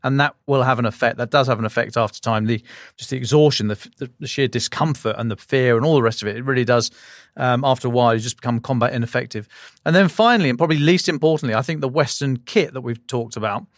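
A man with a medium-pitched voice hosts a defence podcast in a very dry recording. He sets out semi-formal military analysis point by point. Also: fast talker, no room sound. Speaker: podcast host and defence journalist.

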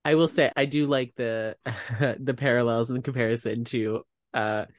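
A man co-hosts a relaxed discussion. His mid-pitched voice is recorded dry and close, and his delivery is lighthearted with some hesitancy. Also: normal speed, no echo. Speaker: podcast host. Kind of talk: casual chat.

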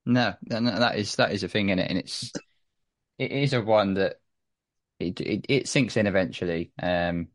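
A young man chatting casually as a podcast guest, halting and restarting his sentences often. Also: on the low side, dry recording. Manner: halting, with many restarts and repeated words. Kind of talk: casual chat.

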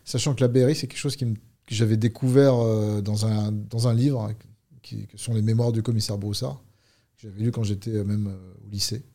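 A man in his fifties from Paris, a police unit leader talking through his career as a guest, measured and hesitant with fillers. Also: on the low side, level tone, dark tone. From Paris, France. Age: 50s. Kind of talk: conversational interview.

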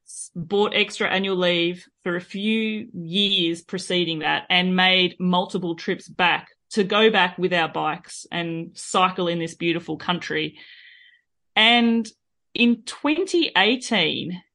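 Bright-voiced Australian woman. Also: on the low side. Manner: reflective, chatty, frequent fillers. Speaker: podcast guest, cyclist. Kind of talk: interview, personal storytelling.

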